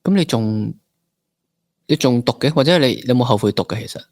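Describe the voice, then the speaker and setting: A man's voice: medium pitch, lively intonation, brisk, darkish tone, dry recording, clean studio sound. A young man with a Hong Kong Cantonese accent, interviewing a guest in a conversational style as a podcast host.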